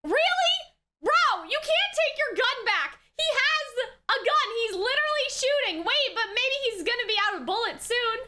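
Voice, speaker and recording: A woman giving casual, excitable reaction commentary as the host, exclamatory and slangy, swinging between shouts and mutters. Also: very high voice, normal speed, a little hiss or hum.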